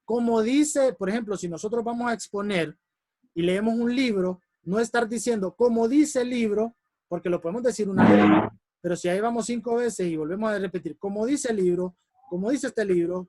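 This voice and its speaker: Guy with a strong voice teaching a speaking workshop, pitched high, really animated, dry close sound. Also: moderate pace, no room sound. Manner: animated, repeats examples for emphasis. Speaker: public speaking instructor. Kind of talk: workshop lesson. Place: classroom or online lesson.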